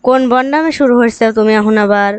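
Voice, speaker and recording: A woman's voice: a little high, fast, clean tone, dry recording, lightly acted. A woman voicing a scornful young interviewer and bitter ex-girlfriend in a cartoon, scolding and sarcastic, with a rural Bangladeshi accent. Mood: bitter accusing. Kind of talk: cartoon comedy dialogue.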